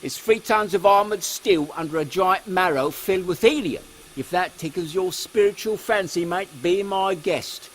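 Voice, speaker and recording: A man voicing a gruff, sardonic British working-class engineer, blunt and deadpan, in a steampunk audio comedy. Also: high-pitched, normal speed, slight background noise, pitched above the performer's own voice, lightly acted. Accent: British English.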